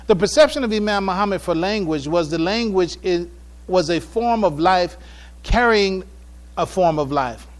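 A man giving a religious public address, halting and repeating phrases for emphasis. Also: high-pitched, animated. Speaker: speaker addressing a mosque congregation.

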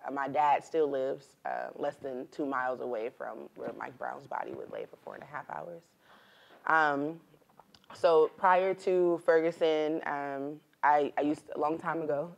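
A woman's voice: deep voice, vocal fry, lo-fi sound. A woman in her twenties from St. Louis, introducing herself on an activist panel in a composed, earnest way. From St. Louis, USA. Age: 20s. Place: large meeting room.

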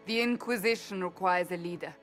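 A woman's voice: on the low side, brisk, lightly acted. An actress as a solemn, resolute woman warrior. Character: resolute, earnest female warrior counselling a leader. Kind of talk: dramatic fantasy game dialogue. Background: music.

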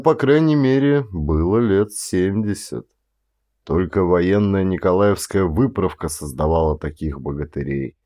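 A man with a low voice reads as an audiobook narrator. His delivery is that of a stage actor performing literary prose. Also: warm tone.

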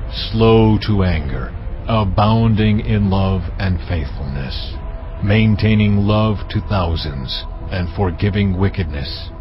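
An actor playing God in a dramatized audio Bible, speaking in a slow, measured, commanding way. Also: male voice, deep voice. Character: God, deep solemn voice of the Lord. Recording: slightly dull audio with music bed.

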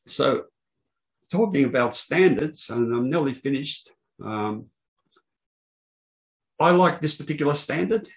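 A man's voice: mid-range voice, low-quality recording. Older man giving a technical talk. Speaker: presenter, loudspeaker engineer. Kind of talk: technical presentation to an online meeting. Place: small indoor room, online video call. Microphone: video call microphone.

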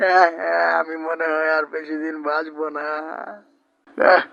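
A man's voice: fairly high pitch, little pitch movement, a little slow, vocal fry, sharp tone, no room sound, full character voice. A man voicing a kindly uncle mango tree in a plaintive, pleading manner. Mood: sorrowful. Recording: muffled, dull audio.

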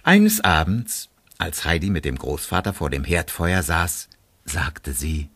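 A man's voice: extremely low pitch, big pitch swings, unhurried, a bit bright, slightly rough. A man narrating a children's radio play in a scripted storybook style.

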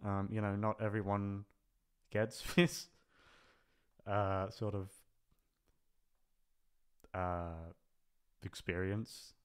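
A man's voice: lowish voice, flat delivery, extremely slow, pauses often, clear, resonant tone. A man talking casually without a script, rambling and hesitant in a self-deprecating way, thinking aloud. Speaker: audio channel creator, voice actor. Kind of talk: unscripted casual farewell vlog.

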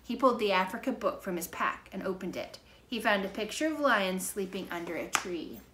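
A middle-aged woman narrating a children's book in a measured, dramatic way, recorded indoors by a camera a few feet away. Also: medium pitch, expressive, a bit bright. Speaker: storybook reader. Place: living room, indoors. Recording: noisy living-room camera recording.

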